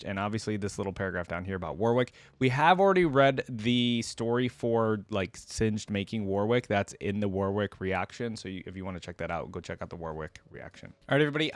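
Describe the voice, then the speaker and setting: A man's voice: fairly low pitch, no echo. A man hosting a reaction video, speaking in an informal, chatty way as he comments and reads along. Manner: informal, chatty, with exclamations and questions. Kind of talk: casual reaction commentary with some reading aloud.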